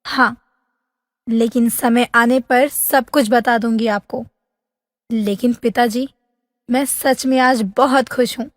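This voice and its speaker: Casting: dreamy young storybook princess, very high voice, plaintive and dramatic, heavy reverb. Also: female voice, steady tone, slow, pauses often. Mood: happy. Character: lovesick, wistful young princess in a fairy tale. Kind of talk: animated fairy-tale voice acting. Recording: long hall-like reverb.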